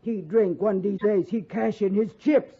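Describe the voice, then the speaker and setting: An actress playing a stern, no-nonsense frontier wife who scolds and bosses in clipped broken English, in 1950s radio Western style. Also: female voice, mid-range voice, a little slow, slightly rough. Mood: stern, warning. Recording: muffled 1950s radio recording.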